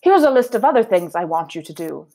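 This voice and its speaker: A brisk lady of the house from a children's storybook, read by a woman. She is firm and bossy, instructing with animated, varied pitch.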